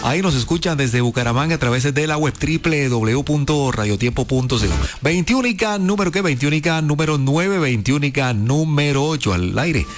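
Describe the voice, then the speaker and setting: A man's voice: on the high side, lively intonation, normal speed, few pauses. A man hosting a chatty radio countdown, upbeat and talking straight to listeners. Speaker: radio host. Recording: radio studio with music bed. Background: music.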